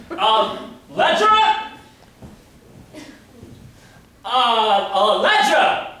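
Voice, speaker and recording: Man acting a high-voiced, deliberate stage inspector. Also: bright, forceful tone, heavily acted. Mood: puzzled, exasperated. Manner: theatrical, deliberate, old-fashioned phrasing with dramatic pauses. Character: probing, exasperated police inspector in period whodunit. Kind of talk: staged murder-mystery comedy stage acting. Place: live staged reading in an echoey room. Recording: echoey room, distant mic, faint noise.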